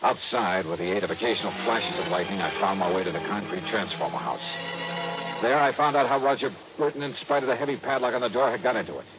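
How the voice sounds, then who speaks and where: An actor playing a tough, wry insurance investigator in a 1950s radio drama, hard-boiled and dramatic. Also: male voice, lowish voice, fast, music in the background, close to an everyday voice. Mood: tense, dramatic. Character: tough, wry freelance insurance investigator, narrating his case. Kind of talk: 1950s radio detective narration and acting. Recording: muffled, very noisy old radio recording.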